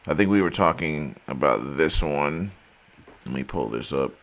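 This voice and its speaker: A man's voice: very deep voice, animated, unhurried, gravelly, a bit bright. A man talking casually and emphatically to his viewers, saying he is losing his voice. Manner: emphatic, argumentative, addresses viewers as guys. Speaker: video host.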